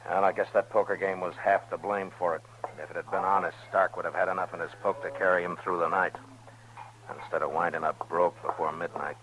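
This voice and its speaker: An actor playing a grave, weary frontier marshal in an old-time radio western, speaking tersely and somberly. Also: male voice, low voice, steady tone, a little fast, a bit bright, rough recording. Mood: thoughtful. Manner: terse, somber, plain-spoken.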